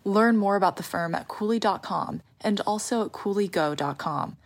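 A woman's voice: mid-range voice, normal speed. A woman reading a scripted sponsor message as an announcer. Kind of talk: scripted ad read.

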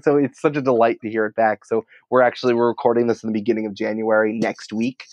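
A man talking casually as an interview guest, in an excitable, rambling, informal way peppered with filler words. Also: fairly low pitch, fast, no room sound, highs cut off. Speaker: podcast writer and producer, interview guest.

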